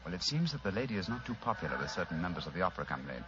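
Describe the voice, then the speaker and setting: An actor playing an urbane, dryly witty English gentleman detective in an old-time radio drama, with an English accent and precise, suave formality. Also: deep voice, sing-song, racing. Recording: muffled old radio recording, noisy.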